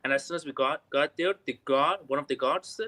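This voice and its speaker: A man from Iran with an Iranian accent, calling in to practise English and often pausing on "you know". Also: medium pitch, pushed and bright. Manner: hesitant, many "you know" fillers. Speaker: learner calling in. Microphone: remote call audio.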